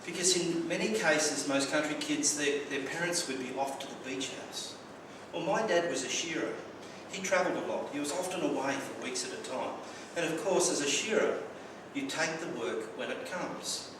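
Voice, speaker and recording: A man's voice: on the high side, normal speed, few pauses. Australian man telling stories in an echoey hall. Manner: anecdotal, wry humour, occasional stumbling repeats. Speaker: club speaker. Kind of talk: prepared personal speech. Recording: very echoey room, distant mic, clear background noise.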